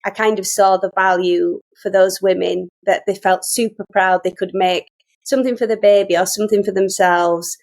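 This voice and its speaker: A middle-aged woman with a Yorkshire accent talks as an interview guest. Her voice sits at a medium pitch, and she tells personal stories in an informal, chatty, meandering flow. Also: fairly even intonation, moderate pace, a little mellow. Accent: Yorkshire English. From Yorkshire, England. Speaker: guest, sewing teacher. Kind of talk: casual conversational storytelling.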